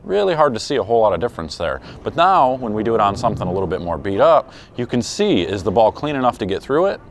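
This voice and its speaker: A man's voice: fairly low pitch, very animated, fast. A man in his forties giving an easygoing, unscripted product review in a casual, conversational way. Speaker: product reviewer and bowling coach. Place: indoors in a pro shop and bowling alley. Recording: clear background noise of bowling alley.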